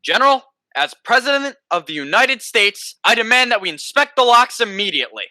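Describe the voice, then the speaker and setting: Bombastic crackpot president, acted by a young man, amateur radio play; sharp, ringing tone, very dry recording. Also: extremely high pitch, sing-song, fairly quick, no room sound, exaggerated voice. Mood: pompous. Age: young adult. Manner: bombastic, pompous. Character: blustering deluded man who thinks himself President Roosevelt.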